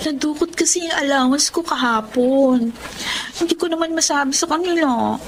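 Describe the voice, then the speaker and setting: High-pitched wheedling Filipino student. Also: female voice, moderate pace, slight background noise. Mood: plaintive. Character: wheedling female student, a sly freeloading friend. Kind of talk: radio drama acting.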